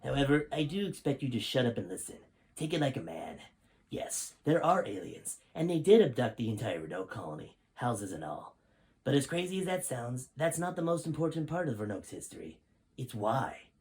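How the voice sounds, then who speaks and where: A man's voice: medium pitch, very animated, normal speed, a little mellow. A man reading the part of a stern, grave male five-star general who lectures in a serious tone. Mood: lecturing.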